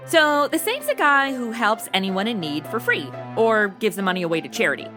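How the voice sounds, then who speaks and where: A woman's voice: on the high side, sing-song, fairly quick, music in the background. A woman playing a flighty, slangy young modern American in an audio comedy, blunt and easily rattled. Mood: breezy, chatty. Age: young adult. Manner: casual valley-girl phrasing, exasperated and blunt. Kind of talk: comedy audio drama acting.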